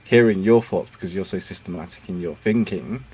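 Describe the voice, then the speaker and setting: A man's voice: deep voice, fairly quick, darkish tone, husky, poor audio. A man whose first language is Cantonese, hosting a casual interview, encouraging and laughing often. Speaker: podcast host.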